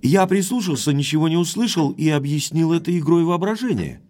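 An actor playing a cheerful, frank young aristocratic heir in an audio drama. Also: male voice, a little high, very animated, fairly quick, darkish tone. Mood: intrigued.

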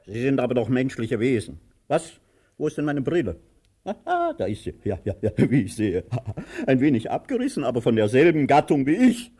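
An actor in a radio play voicing a pompous, tyrannical landowner who mixes grandiose speeches with barked commands. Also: male voice, mid-range voice, very animated, normal speed, mellow, husky. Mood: haughty, inquisitive. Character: pompous, tyrannical landowner, theatrical and domineering to his servant.

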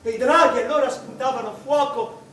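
A male actor playing a tormented, eccentric mathematician in an emphatic, declamatory radio drama monologue. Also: extremely high pitch. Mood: nostalgic, bitter. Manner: emphatic, declamatory, with deliberate repetitions. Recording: distant echoey room with faint background noise.

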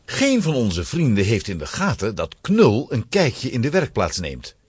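A man's voice: mid-range voice, wildly swooping pitch, a little slow, darkish tone, slightly rough, no echo. A man narrating a scripted children's story with dramatic suspense.

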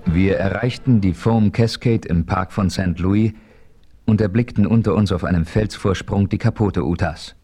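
An actor narrating a radio play as a steady frontier hero, telling his story in a measured way. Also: low voice.

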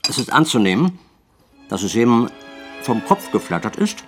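An actor playing a senior police detective in a radio play, questioning with dry, formal politeness. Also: male voice, mid-range voice, big pitch swings, moderate pace, soft background music, close to an everyday voice. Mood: pressing, dry. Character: senior police detective, probing, dryly sarcastic interrogator.

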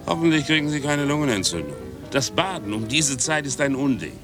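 An actor playing a blunt, dismissive, mocking ferry captain in a German radio drama. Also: male voice, medium pitch, animated, a little fast, music in the background, natural voice. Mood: gruff. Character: gruff, dismissive ferry captain who likes a drink.

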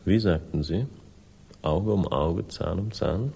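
An actor playing a world-weary male sleuth in a German radio crime drama, speaking with dry, laconic irony and a gravelly voice. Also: low-pitched, slow, mellow, heavily acted. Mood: needling, skeptical. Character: sardonic, world-weary male crime sleuth telling his own story. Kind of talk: 1960s German radio crime drama, first-person narration and dialogue.

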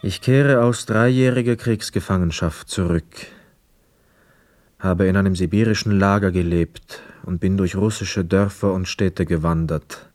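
An actor playing a reflective, weary homecoming soldier in a radio play, with measured, lyrical phrasing. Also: male voice, sits low, darkish tone, no echo, clean studio sound, soft background music. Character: weary young war returnee, reflective, dreamy wanderer. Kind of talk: literary radio play monologue and dialogue.

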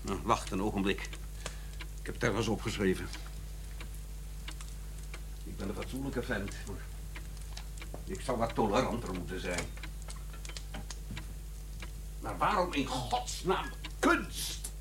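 A radio-play colonel, older, gruff and easily riled, speaking in curt bursts with pitch that swings widely. There is a faint hum of noise behind him. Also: male voice, animated, measured pace, long pauses. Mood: grudging, softening. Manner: curt, brusque, indignant. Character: gruff, irascible older colonel, suspicious guardian uncle. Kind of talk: radio drama acting.

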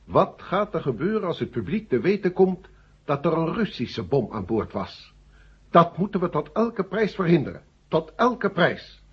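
Husky, animated, scornful official. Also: male voice, fairly high pitch, moderate pace, heavily acted. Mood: alarmed, scornful. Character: male official, alarmed, scornful, insistent schemer. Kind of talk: 1970 Dutch science-fiction radio play acting. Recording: muffled vintage radio recording.

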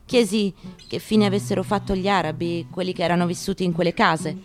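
A woman gives a dramatic, measured literary reading, breaking emphatic short phrases with deliberate pauses. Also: on the low side, fairly even intonation. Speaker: stage actress reading aloud. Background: acoustic guitar.

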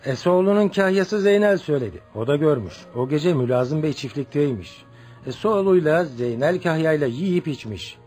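A man's voice: a little high, lively intonation, moderate pace, darkish tone, faint music behind, lightly acted. A man playing a stern, businesslike gendarme sergeant in a radio drama, curt and official. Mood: commanding.

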